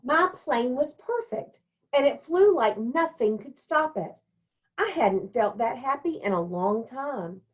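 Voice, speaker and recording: A woman reading a children's storybook aloud and talking to young pupils in simple, deliberate phrases. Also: a little high, highs cut off. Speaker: elementary school staff member reading a picture book to children.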